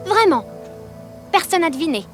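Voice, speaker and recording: A sassy, spirited young girl in a cartoon. Her teasing voice is high, over clearly audible music. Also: big pitch swings, moderate pace. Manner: teasing, exclamatory. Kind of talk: animated cartoon voice acting. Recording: loud background music.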